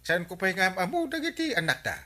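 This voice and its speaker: A man's voice: sits high, very animated, a little fast, sharp tone, heavily acted. A man with an Ilocano accent playing an eager, evasive husband in a radio comedy drama. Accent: Ilocano Filipino.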